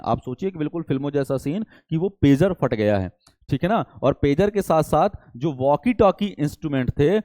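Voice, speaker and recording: Man, teacher, explanatory lesson, didactic, rhetorical questions, mellow timbre, dry close sound. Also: fairly high pitch, dry recording. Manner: didactic, frequent check-in tags, rhetorical questions. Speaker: teacher for competitive exam students. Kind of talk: explanatory current affairs lesson.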